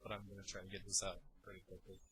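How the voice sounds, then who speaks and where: Man, lowish voice, casual game commentary, sarcastic, crude jokes, very noisy recording. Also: monotone, rapid-fire. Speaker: let's play video commentator. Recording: very noisy, game sound behind.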